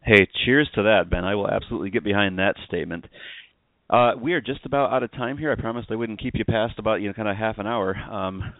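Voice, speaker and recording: A man's voice: lowish voice, fast, no echo. A man hosting a sports podcast, chatting casually as an interviewer. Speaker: podcast host. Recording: narrow phone-like line, dry.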